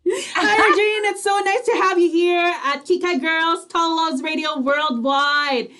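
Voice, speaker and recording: A woman's voice: very high-pitched, fairly even intonation, unhurried, heavy background noise. A woman hosting an online radio show, chatting casually and enthusiastically with her guest. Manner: enthusiastic, gushing. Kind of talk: casual interview chat.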